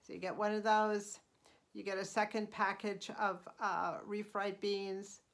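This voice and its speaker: A middle-aged woman hosting her own channel, talking through casual tips in an unhurried, chatty way with frequent ums and uhs. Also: mid-range voice, level tone. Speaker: channel host. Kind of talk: casual vlog voice-over.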